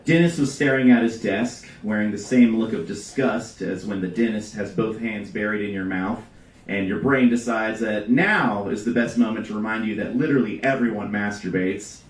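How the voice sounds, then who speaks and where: A man reading a comedic story aloud to a live audience with a deadpan, deliberate delivery. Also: on the low side, a little hiss or hum. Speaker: reader at a live storytelling show. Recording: roomy live venue, distant mic.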